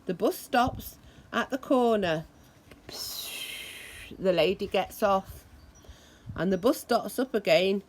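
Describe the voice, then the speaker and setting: Slow-paced woman reading a children's story. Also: medium pitch, lively intonation. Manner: deliberate, acts out noises expressively. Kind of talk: read-aloud children's story with imitated sound effects.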